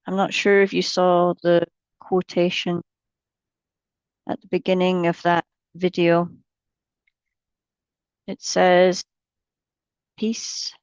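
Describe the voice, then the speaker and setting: A woman leading a guided meditation as a mindfulness teacher, gently and without hurry, leaving long pauses between short phrases. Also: lowish voice, flat delivery, smooth, no echo, dull audio.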